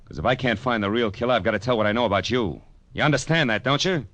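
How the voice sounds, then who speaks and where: An actor playing a wry, hardboiled private detective in an old radio crime drama, with dry wisecracking delivery. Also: male voice, mid-range voice, fast talker, a bit bright, no echo, soft background music, natural voice. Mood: businesslike, firm. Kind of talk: hardboiled 1950s radio detective narration and dialogue.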